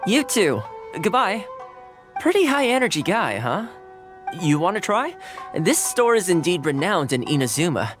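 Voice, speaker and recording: A courteous young male retainer with an easygoing, polite manner and a touch of humor. His voice rises and falls in lively swings, over faint music. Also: sits high, moderate pace. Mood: friendly. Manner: polite, relaxed, gently humorous. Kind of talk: game dialogue.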